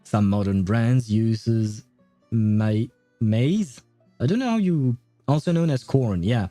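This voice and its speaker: A man's voice: mid-range voice, expressive, takes it slowly, mellow, no echo, faint music behind. A young man chatting casually on a game livestream, thinking aloud and laughing often as he reads and reacts. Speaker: gaming livestreamer.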